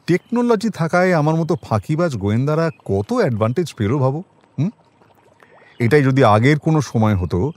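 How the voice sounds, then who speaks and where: A man's voice: medium pitch, no echo, lightly acted. A man playing a seasoned detective near retirement in an audio drama, wry, humane and musing in a conversational way. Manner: musing, conversational, gently ironic. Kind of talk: Bengali audio drama acting.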